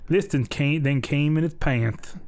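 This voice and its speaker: A man's voice: on the high side, measured pace, darkish tone. A man playing a folksy, crude Southern US storyteller, telling a mock children's bedtime story with rambling, ungrammatical asides.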